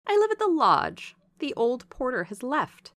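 A warm-hearted young servant woman chatters away in a classic storybook, acted out by a woman narrator. Her voice is lifted high, and her manner is lively, familiar and full of gossip. The recording is dry. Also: unhurried, no echo, exaggerated voice. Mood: cheerful. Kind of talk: storybook character dialogue.

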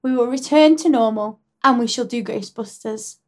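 A woman's voice: fairly high pitch, normal speed. A woman co-hosting a casual podcast, teasing her co-host in a playful, mock-indignant way. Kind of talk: casual comedic chat.

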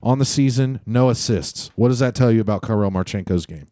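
A man's voice: on the low side, brisk, warm tone, no room sound, studio quality. A man co-hosting a sports podcast, talking bluntly and swearing often. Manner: blunt, profane, rambling. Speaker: podcast co-host. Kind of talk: casual sports chat.

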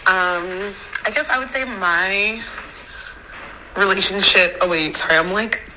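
Hoarse woman, slow careful delivery, bright thin tone, phone recording, faint noise. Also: mid-range voice, rough recording. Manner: halting, many "um"s and restarts. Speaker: listener contributor. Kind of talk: recorded voice message.

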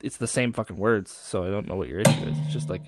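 A man's voice: on the low side, fast, heavy background noise. A man co-hosting a casual movie review podcast, swearing freely and trailing off mid-thought. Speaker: podcast co-host.

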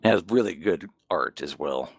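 A man's voice: rumbling low, lively intonation, moderate pace, no room sound, studio quality. A man co-hosting a podcast, chatting casually and frankly about games and manga, with some swearing. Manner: profane, frank. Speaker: podcast co-host.

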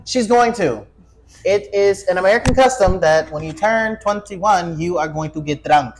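A man's voice: high voice, moderate pace, low-quality recording. A man in his twenties chatting casually as a podcast host, joking and swearing freely. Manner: profane, joking, animated self-interruptions. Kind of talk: casual chat.

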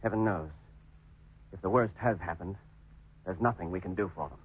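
An actor playing a brisk, authoritative British doctor in charge of a moon base in a 1950s radio serial. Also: male voice, deep voice, breathy edge. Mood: grave. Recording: muffled vintage radio broadcast.

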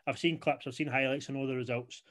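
Guy on a podcast, rambly, says you know a lot, average pitch. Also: steady tone, fast talker, fairly bright tone. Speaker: podcast co-host. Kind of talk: casual chat.

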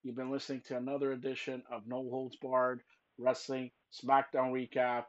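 A man hosting a wrestling podcast, talking loudly and teasing his guests, rambling with lots of fillers. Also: mid-range voice, monotone, moderate pace, highs cut off. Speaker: podcast host. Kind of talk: loud joking wrestling podcast chat.

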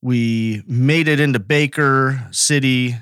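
A man's voice: mid-range voice, fairly even intonation, slow, a bit bright, clear, resonant tone, no echo. American man telling stories, dry recording. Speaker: podcast co-host. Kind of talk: casual anecdotal chat.

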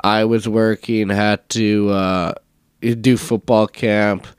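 A young man co-hosting a casual sports podcast, stuffed up from a cold and talking in a relaxed, rambling way. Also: on the low side, takes it slowly, clean tone, dry recording, studio quality. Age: young adult. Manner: relaxed, rambling, many fillers. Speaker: podcast co-host, university football player.

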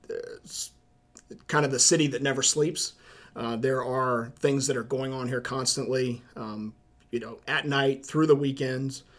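A middle-aged man with a Southern accent from Charleston, a high school principal answering interview questions in a measured way, with many ums and uhs. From South Carolina, USA. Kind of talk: podcast interview.

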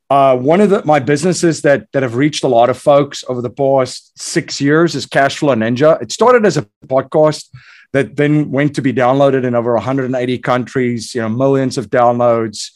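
South African-born man telling his story. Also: medium pitch. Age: 40s. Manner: energetic storyteller, many fillers and restarts. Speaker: guest, investor and entrepreneur. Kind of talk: podcast interview.

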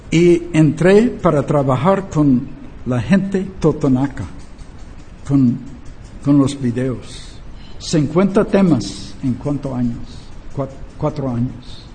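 A man's voice: medium pitch, takes it slowly, pauses often, mellow, slight background noise. An older man in Spanish, preaching and teaching emphatically, repeating key phrases and asking rhetorical questions. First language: not a native Spanish speaker.

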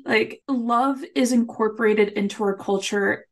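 A woman's voice: a little high, steady tone, unhurried. A woman from Puerto Rico whose first language is Spanish, chatting casually and emphatically as a podcast co-host. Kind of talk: casual chat discussion.

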